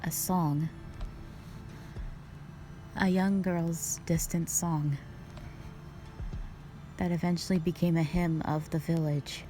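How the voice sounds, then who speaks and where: Ancient female dragon guardian for audio drama, played by a woman: low-pitched, unhurried, proud, stern yet composed, formal diction. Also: steady tone, mellow, soft background music, low-quality recording. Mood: solemn, reflective. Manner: formal, measured, stately. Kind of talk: scripted roleplay audio drama monologue.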